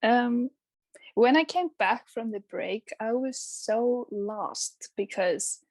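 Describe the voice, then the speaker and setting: A woman's voice: a little high, slow pace, darkish tone, dry recording. A young woman from Iceland, talking casually as an interview guest, chatty and full of ums and restarts. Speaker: guest YouTuber.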